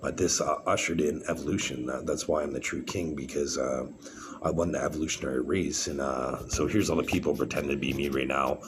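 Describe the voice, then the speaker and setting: A man with a very deep voice, talking in a halting, rambling monologue full of fillers and restarts. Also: steady tone. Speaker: vlogger. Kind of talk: rambling solo monologue to camera. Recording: clear background noise.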